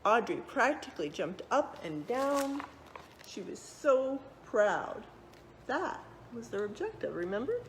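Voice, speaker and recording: A woman reading a children's picture book aloud, expressively, pausing to check in with young listeners. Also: a little high, very animated, a little hiss or hum, poor audio. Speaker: read-aloud storyteller.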